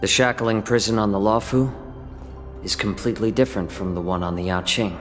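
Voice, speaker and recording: A man playing a composed, courteous fox-folk envoy in a video game, speaking in a measured, formal way with a dry teasing edge. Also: on the low side, fairly even intonation, long reverb tail, faint music behind, close to an everyday voice. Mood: dry, cautioning.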